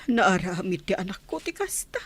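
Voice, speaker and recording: A woman playing a concerned middle-aged mother in a radio drama, with an Ilocano accent and emotional, expressive delivery. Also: medium pitch, normal speed, husky. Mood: distressed, protective. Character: protective, concerned village mother of a grown daughter. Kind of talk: Filipino radio drama acting.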